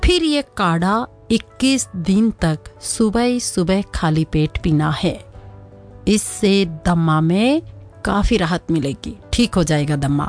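A woman presenting a radio home-remedy segment. She speaks in a patient, instructional way, repeats each remedy slowly and often checks in with a rising "okay?". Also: lowish voice, expressive, faint music behind.

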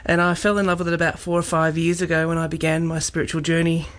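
Heartfelt hesitant woman, steady tone. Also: deep voice, quick pace. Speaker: group member. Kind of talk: sharing in a women's circle.

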